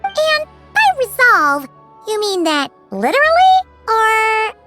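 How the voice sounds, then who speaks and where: A woman voicing a tiny, childlike companion sprite in an anime game, squeaky, curious and hesitant. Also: sing-song, takes it slowly, loud music behind the voice, full character voice. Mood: hesitant, concerned. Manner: hesitant, questioning. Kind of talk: scripted anime-style game acting.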